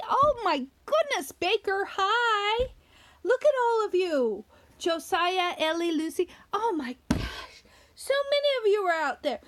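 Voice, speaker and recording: A woman with a high voice that swings widely up and down as she reads to little children. She takes her time, stretching words and stopping to put simple questions to her young listeners. Her delivery is animated storytelling, with small explanations along the way. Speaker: children's story reader and host.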